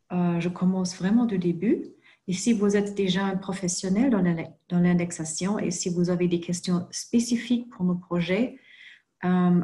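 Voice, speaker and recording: A woman walks through a screen-share tutorial in a fairly low voice. She speaks in a very slow, careful way and fills her pauses with frequent hesitations. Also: warm tone. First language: not French. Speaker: presenter for a genealogy organization.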